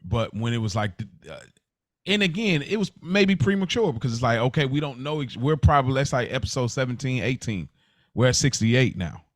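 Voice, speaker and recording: Male co-host, reflective and conversational, long explanations, mid-pitched voice, very little room sound. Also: lively intonation, a little fast, dry recording, studio quality. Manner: reflective, explains at length with "like" and "you know what I mean". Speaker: podcast co-host. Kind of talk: casual conversation.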